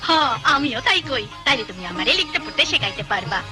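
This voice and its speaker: A woman voicing a gentle, encouraging village woman in an animated cartoon, with a Bangladeshi accent. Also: on the high side, quick pace. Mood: approving. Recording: music under the voice.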